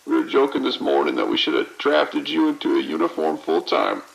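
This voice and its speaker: A man's voice: a little high, huge pitch swings, a little fast, creaky, heavily acted, rough recording. A man playing a weary, overwhelmed but friendly police officer in an audio drama, talking informally with exasperation. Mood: harried, friendly. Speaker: police officer at operations desk. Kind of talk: audio drama acting, phone call.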